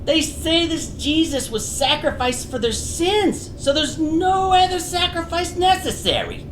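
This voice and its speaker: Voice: very high voice, big pitch swings, measured pace, full character voice. An actor playing a deferential, nervous gangster underling in comic radio theater, talking in mobster slang with double negatives. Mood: nervous, reporting. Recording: roomy, echoing, faintly noisy recording.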